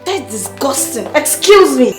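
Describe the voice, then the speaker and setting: An outspoken young friend in a screen drama. Her very high voice swings widely in pitch. She is challenging and openly disapproving. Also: sing-song, a little slow, over loud music. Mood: indignant, disgusted. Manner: challenging, indignant.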